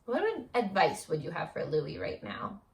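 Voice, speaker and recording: A woman's voice: on the low side, wildly swooping pitch, normal speed, vocal fry, reverberant. A woman teacher doing an interactive read-aloud for children, prompting them with questions and encouraging them.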